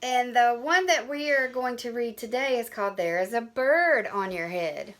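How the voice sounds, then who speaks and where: A woman reading a picture book aloud for young children, with simple, expressive phrasing. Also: a little high, normal speed. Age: adult. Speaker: storybook reader.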